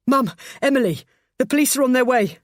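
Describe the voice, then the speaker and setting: A woman's voice: sits high, animated, unhurried, dry recording, studio quality, pitched above the performer's own voice. A woman voicing an alarmed young man who is out of breath.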